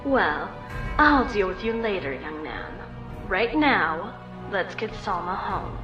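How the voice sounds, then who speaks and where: A woman plays a strict mother echidna in a comic dub. Her voice sits at an average female pitch, stern and sharp-tongued, over faint music. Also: big pitch swings, slow, muffled recording.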